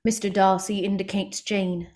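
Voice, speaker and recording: A woman's voice: mid-range voice, little pitch movement, moderate pace, darkish tone. A woman reading stage directions as scripted dramatic narration.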